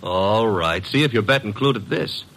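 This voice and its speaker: An actor playing a brash, wisecracking detective's assistant in a classic radio drama, with slangy, punchy delivery. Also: male voice, on the low side, animated, measured pace, fairly bright tone, exaggerated voice, low-quality recording. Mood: brisk, eager.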